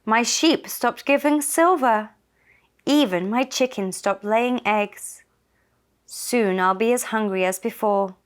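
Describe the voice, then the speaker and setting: A woman's voice: on the high side, slow pace, clear, resonant tone. A woman voicing a trusting, poor man in a children's story, speaking in an earnest, plaintive manner. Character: Akumba, a trusting poor man, complaining but polite.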